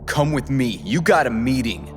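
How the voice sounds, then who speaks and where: A man's voice: mid-range voice, sing-song, moderate pace, natural voice. A gangster's heavy acted by a man needles his target with mock friendliness. His mid-range voice rings in a reverberant space, audio-drama style, with faint music behind. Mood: smug, threatening. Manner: taunting. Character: menacing male gangster henchman, mockingly friendly.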